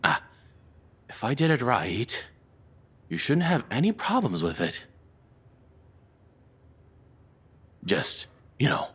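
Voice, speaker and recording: A man playing a nervous, lovestruck kidnapper in an audio roleplay, apologetic and obsessive, speaking in halting, rambling stammers. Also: sits low, fairly bright tone, slightly rough, heavily acted. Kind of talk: intimate dramatic audio roleplay monologue.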